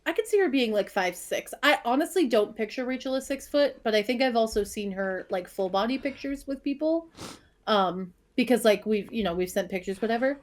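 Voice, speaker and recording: A woman with a Maine accent, a teacher, co-hosts a relaxed podcast. She speaks in a chatty way and often talks over her partner. Her voice sits a little high. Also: a little fast. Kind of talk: casual chat.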